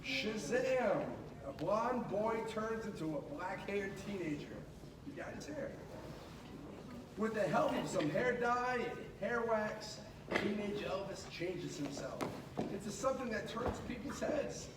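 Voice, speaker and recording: High-voiced man reading aloud in an echoey room. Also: a little slow. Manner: expressive, with casual asides to listeners. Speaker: storybook reader at a school. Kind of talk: animated children's picture book read-aloud. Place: indoors at a school. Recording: echoey room, distant mic, noisy background.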